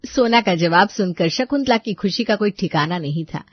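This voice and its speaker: A woman's voice: mid-range voice, expressive, normal speed, no room sound, highs cut off. A woman narrating a scripted comic story, chatting to the listeners as she tells it.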